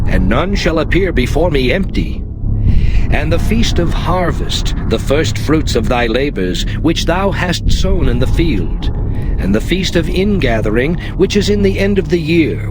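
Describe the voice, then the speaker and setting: A man narrating the Bible as a dramatized reading, in a solemn, measured, declamatory way. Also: medium pitch. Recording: music behind the voice. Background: music.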